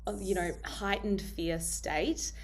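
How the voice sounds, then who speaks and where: A woman's voice: medium pitch, fairly even intonation, slow pace, rough recording. A woman hosting a podcast interview in a conversational style, responding and posing questions to her guest. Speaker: podcast host.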